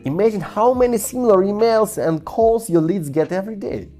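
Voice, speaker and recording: A woman's voice: medium pitch, brisk, mellow, soft background music. A woman with a Ukrainian accent giving a scripted business master-class, speaking emphatically and persuasively straight to the listener. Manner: emphatic, persuasive, addressing the viewer directly. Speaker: startup founder, business course presenter.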